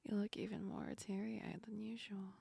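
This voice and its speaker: A woman playing a teasing yet comforting female sleep demon in a whispered ASMR roleplay, breathy and soft, speaking close to the ear. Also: medium pitch, flat delivery, moderate pace, no echo. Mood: teasing, mocking. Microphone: very close ASMR microphone.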